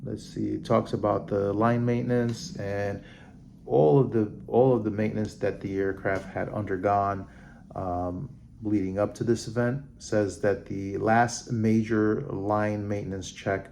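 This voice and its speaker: A man hosting a vlog, reading a report aloud haltingly and adding off-the-cuff comments. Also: fairly low pitch, level tone, gravelly, darkish tone, a little hiss or hum. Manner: halting, stumbling over names and terms. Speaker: vlog host.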